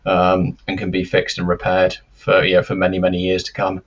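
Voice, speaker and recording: A man giving careful, explanatory interview answers as a company expert, with measured and hesitant phrasing, over lo-fi live-stream audio. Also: low voice, completely flat delivery. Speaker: loudspeaker company representative, interview guest.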